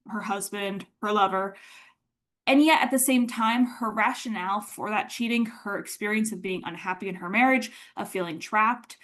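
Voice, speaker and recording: A woman lecturer explaining her subject in measured, thoughtful academic phrasing, partly scripted. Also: mid-range voice, a bit bright.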